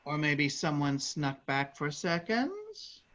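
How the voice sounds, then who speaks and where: A genial cook for a band of travelling players, acted by a woman in a stage play reading. The voice is lowish, chatty and very lively, on a slightly dull recording. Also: takes it slowly, exaggerated voice. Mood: puzzled. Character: genial troupe cook handing out the midday meal.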